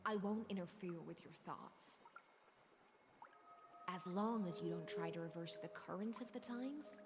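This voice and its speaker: An actress playing a poised, philosophical sea-born warrior noblewoman in a video game, speaking in formal, measured phrases. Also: fairly low pitch. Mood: solemn. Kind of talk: scripted video game voice acting. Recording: game music behind the voice.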